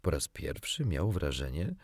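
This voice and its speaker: A man narrating a children's story as an audiobook reader, in an expressive, theatrical way. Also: extremely low pitch, unhurried, slightly rough, no echo, clean studio sound.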